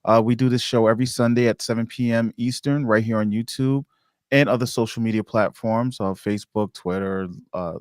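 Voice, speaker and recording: A man's voice: mid-range voice, fairly even intonation, brisk, no room sound, studio quality. A man hosting a casual live-streamed podcast, speaking in a conversational, appreciative way. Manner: conversational, appreciative, repeats his thanks. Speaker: podcast host.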